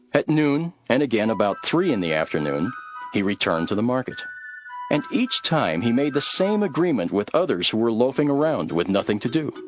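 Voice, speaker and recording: A man playing a calm, authoritative religious teacher in a dramatized scripture, solemn and measured. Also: lowish voice. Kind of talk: dramatized scripture reading with storytelling. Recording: background music under the voice.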